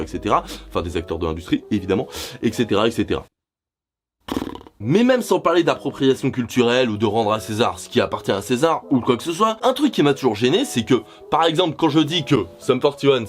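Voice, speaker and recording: Animated sarcastic French man. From France. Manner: sarcastic, swears casually, animated swings in pitch. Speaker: YouTuber. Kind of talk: scripted comedic commentary. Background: jazz background music.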